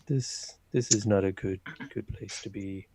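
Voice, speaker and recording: A man plays a flustered adventurer doctor in a tabletop fantasy game. The voice is low and closely recorded with little room sound. He trips over words and repeats them, fussy and dryly morbid. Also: a little slow, warm tone, breathy edge, dry recording. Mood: flustered, alarmed. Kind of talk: in-character tabletop roleplay.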